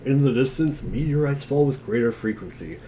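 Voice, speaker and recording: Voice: mid-range voice, expressive, brisk, dark tone, slight background noise, low-quality recording. A narrator reading a second-person story aloud in a dramatic reading.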